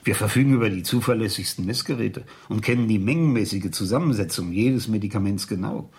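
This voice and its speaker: Casting: meek, courteous middle-aged English chemist, low husky voice, wistful dreamer, vintage radio thriller. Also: male voice, quick pace, a little mellow, no room sound. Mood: earnest, precise. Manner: deferential, polite, wordy. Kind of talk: 1970s German radio crime drama acting.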